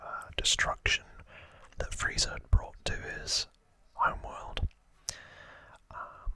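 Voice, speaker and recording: A man whispering an ASMR trivia recap in a breathy voice, informal and a little hesitant, as if recalling it from memory. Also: slow, bright, forceful tone. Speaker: ASMR host.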